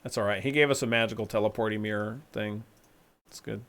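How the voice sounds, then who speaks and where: A man's voice: on the low side, steady tone, fast talker, fairly bright tone. A man streaming a video game, giving casual, joking, offhand commentary as he plays.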